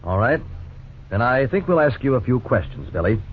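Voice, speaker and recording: An actor playing a patient but stern middle-aged family court judge who speaks in a measured, formal way, in 1950s radio drama style. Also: male voice, medium pitch, big pitch swings, natural voice. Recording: scratchy 1950s radio broadcast, tinny, faint hiss.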